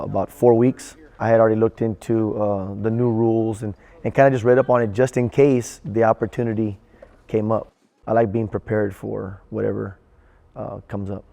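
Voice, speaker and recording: A man, a high school coach and theater director, telling his story in an interview. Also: creaky, dark tone.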